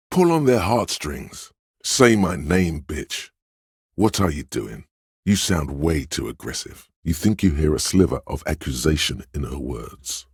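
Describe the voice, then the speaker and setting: A man voicing a coaxing, insinuating inner voice of a detective's mind in a video game, giving deliberate advice in a gravelly, husky tone. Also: extremely low pitch, dry recording, studio quality, exaggerated voice. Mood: cautioning. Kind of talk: scripted game narration.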